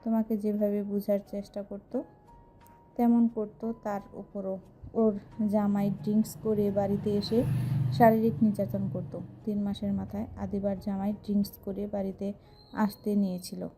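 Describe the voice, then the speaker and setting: A loyal, supportive young woman, played by an actress, confides plainly in a soft-toned voice with little pitch movement. It is an audio drama over music. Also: level tone, normal speed, natural voice. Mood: confiding.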